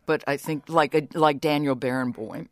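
A woman's voice: low-pitched, steady tone, moderate pace. A woman interviewer asking curious, conversational questions.

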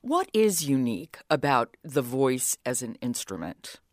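A woman's voice: rumbling low, sing-song, unhurried, dry recording, clean studio sound. A woman interviewer asking curious, conversational questions.

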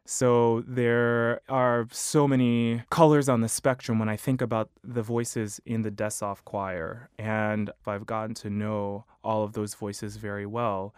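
A man in his thirties, a choral conductor with a high baritone voice, giving measured, thoughtful interview answers. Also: flat delivery, smooth, no echo, studio quality. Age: 30s. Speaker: choral conductor and music director.

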